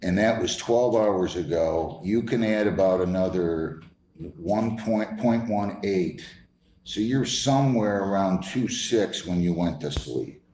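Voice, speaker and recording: A man's voice: low voice, slow pace, a little mellow. A man speaking as a judge in court, questioning and admonishing firmly, often cutting in and repeating himself. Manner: stern, firm, interrupts and repeats himself. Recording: echoey courtroom, faint background noise.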